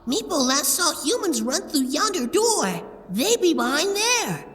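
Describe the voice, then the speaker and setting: An eager little kobold speaks in simple broken phrases, earnest and loyal. The voice is high and lively, drawn out at a slow pace, as heard in a fantasy audio drama. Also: female voice, far from the mic, full character voice. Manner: third-person broken speech, simple and earnest. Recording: long reverb, music underneath.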